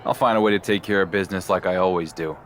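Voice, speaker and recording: An actor playing a confident, laconic wrestling champion with an understated manner in a video game cutscene. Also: male voice, low voice, fast, a bit bright, slight background noise. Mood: quietly confident.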